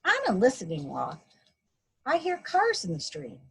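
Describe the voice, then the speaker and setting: A woman's voice: mid-range voice, wildly swooping pitch, normal speed, gravelly, fairly bright tone, husky. A woman reading a picture book aloud to young children, explaining things simply and playfully acting out sound effects. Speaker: guest storybook reader, a mother. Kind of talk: read-aloud children's story time, simple teacherly explaining.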